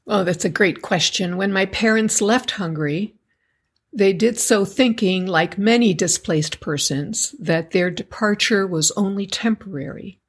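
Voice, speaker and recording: A middle-aged woman from the United States, answering interview questions in a measured, articulate way. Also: mid-range voice, slightly rough. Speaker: guest, educators association member.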